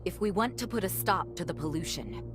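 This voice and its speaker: Game noblewoman, played by a woman: sardonic, commanding, deepish voice, blunt delivery over music. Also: expressive, a little fast, lightly acted. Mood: briskly commanding. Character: defiant, sardonic noblewoman ruling a sunken city. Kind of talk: scripted video game cutscene acting.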